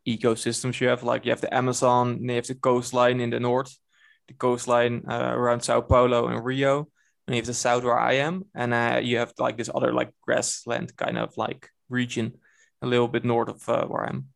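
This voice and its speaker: Gravelly young man with a Dutch accent. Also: mid-range voice, normal speed. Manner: relaxed, hesitant with fillers and restarts. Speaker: guest. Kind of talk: casual video call chat.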